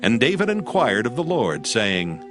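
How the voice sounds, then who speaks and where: A man narrating a dramatized scripture audiobook in a solemn, measured storytelling manner. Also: mid-range voice, wildly swooping pitch, over music.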